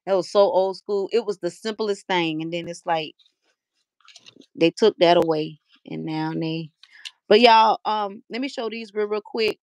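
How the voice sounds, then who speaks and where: A woman's voice: lowish voice, unhurried, no echo. A middle-aged woman hosting a casual livestream from a room at home, talking easily into a webcam. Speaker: livestream host.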